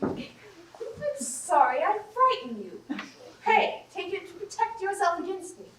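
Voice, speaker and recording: A woman's voice: extremely high pitch, very animated, measured pace, heavily acted. A woman on stage playing a proud, romantic young lady in a classic comedy, speaking formally and with indignation. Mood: concerned, gracious. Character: proud, romantic young Bulgarian lady, haughty yet generous. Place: theatre stage, recorded from the audience. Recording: roomy, distant stage recording with hiss.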